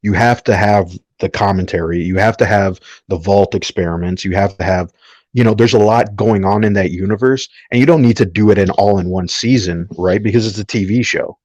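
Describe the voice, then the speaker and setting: Low-voiced man, emphatic casual talk. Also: brisk, a little mellow, dry recording. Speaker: podcast panelist. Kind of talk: casual fan discussion.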